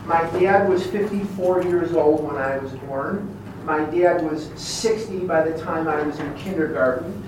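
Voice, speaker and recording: A man with a Chicago American accent giving a personal testimony speech, emphatic and deliberate, repeating phrases for effect. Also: on the high side. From Chicago, USA. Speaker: guest speaker at recovery meeting. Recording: very echoey room, distant mic, clear background noise.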